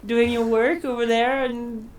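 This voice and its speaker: Higher-pitched woman reporter asking questions. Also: flat delivery, unhurried, recorded from a distance, over a noisy crowd. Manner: asks with frequent laughs and backchannels. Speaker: reporter, interviewer. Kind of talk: interview questions. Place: outdoors in a national park.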